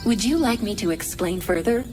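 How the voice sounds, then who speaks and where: A woman's voice: mid-range voice, animated, normal speed, over music, close to an everyday voice. A woman voicing a polite, helpful artificial intelligence guide in a video game, formal, precise and obliging. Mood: helpful, composed. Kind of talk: video game cutscene acting.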